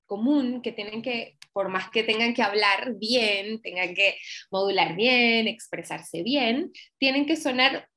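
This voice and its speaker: Venezuelan chica, voice actress guest, kinda high voice, laughs a lot, webcam call. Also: female voice, slow pace. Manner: lively, laughs often, acts out quoted lines. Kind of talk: casual interview chat. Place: home room, video call.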